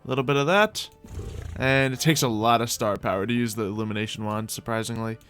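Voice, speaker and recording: A man's voice: mid-range voice, quick pace, fairly bright tone, clear, resonant tone, faint music behind. A man hosting a gaming video, giving playful, chatty roleplay commentary that rambles along and often addresses the game's creatures directly.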